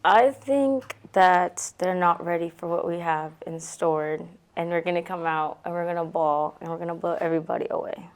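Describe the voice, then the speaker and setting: A young woman college softball player answering interview questions casually, with many likes. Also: on the low side, normal speed, few pauses. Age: young adult.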